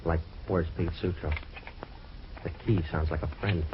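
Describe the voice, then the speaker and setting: A very low-voiced, hard-boiled male detective, terse and deadpan. He tells his case wryly in old radio drama style. The recording is dull and noisy. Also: measured pace. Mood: cool, deadpan.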